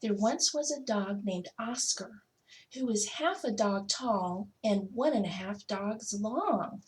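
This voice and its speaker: A woman's voice: mid-range voice, slow, heavy background noise. A woman reading a children's picture book aloud expressively, stretching out key words for emphasis. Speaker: school technology coordinator reading to children.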